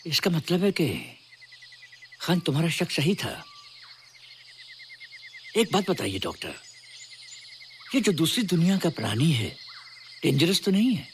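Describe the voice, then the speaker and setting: An authoritative, lively-pitched official. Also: male voice, measured pace, long pauses, slight background noise. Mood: concerned. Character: cautious, authoritative senior official. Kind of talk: Hindi film drama dialogue.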